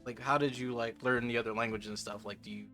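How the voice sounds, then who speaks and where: A man's voice: mid-range voice, fairly even intonation, fast talker, sharp tone, faint music behind. A man hosting a casual interview, chatting in a laid-back, agreeable way and laughing easily. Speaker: interviewer, host.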